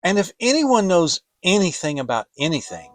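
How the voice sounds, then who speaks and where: A man's voice: sits high, big pitch swings, moderate pace, faint music behind, pitched above the performer's own voice. A man voicing a kindly, earnest bear in a children's storybook, simple and helpful, speaking with emphasis. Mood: musing. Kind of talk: dramatic children's audiobook reading.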